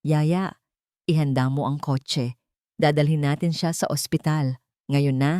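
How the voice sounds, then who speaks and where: A woman's voice: low voice, fairly even intonation, unhurried, dark tone, clean tone, no room sound, studio quality. A woman playing a commanding, worried billionaire father in an audio story, urgent and demanding. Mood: firm urgency.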